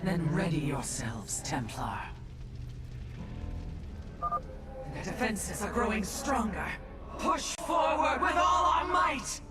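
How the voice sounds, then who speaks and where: Game alien commander: stern, proud, dramatic swings in pitch, distant, loud score. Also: female voice, wildly swooping pitch, takes it slowly, long pauses, heavily acted. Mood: commanding. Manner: formal, commanding. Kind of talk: video game cutscene voice acting. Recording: distant voice under loud music.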